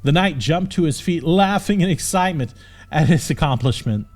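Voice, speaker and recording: A man's voice: on the high side, expressive, moderate pace, soft background music. A man narrating a scripted comic fantasy audiobook in a dramatic storytelling manner.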